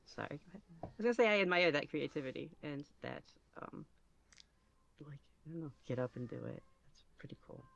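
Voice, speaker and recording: A woman's voice: low voice, lively intonation, fairly quick, creaky, slight background noise. A woman chatting casually as an interview guest, hesitant, rambling and self-deprecating, on a dull, muffled recording. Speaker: podcast guest, ASMR roleplay creator.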